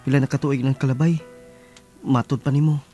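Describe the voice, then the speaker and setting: A man's voice: medium pitch, steady tone, fairly quick, mellow, soft background music, close to an everyday voice. A man acting in a radio drama as an earnest young working man, sincerely pleading his love. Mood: earnest wooing.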